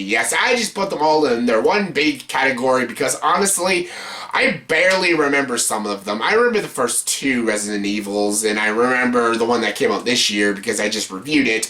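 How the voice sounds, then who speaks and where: Bright-voiced man, blunt profane reviewer. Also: medium pitch. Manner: emphatic, repeats himself. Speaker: video host, amateur movie reviewer. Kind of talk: casual ranked-list movie review rant.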